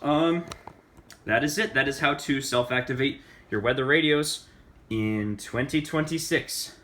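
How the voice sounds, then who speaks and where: A man giving a casual, unscripted how-to demonstration, halting and often correcting himself as he talks. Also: medium pitch, pushed and bright, slight background noise. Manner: halting, self-correcting delivery. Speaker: host of a hobbyist demonstration video.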